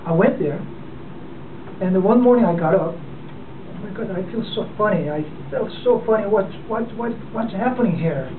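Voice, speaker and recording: A man with a Korean accent speaks haltingly, with frequent fillers and restarts, as he gives a speech to a club. He sounds far from the microphone in an echoey room. The sound is narrow and tinny, like a phone line, with clear noise underneath. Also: high voice, lively intonation. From South Korea. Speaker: club member giving a speech. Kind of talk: unprepared speech. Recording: distant, echoey, phone-like and noisy.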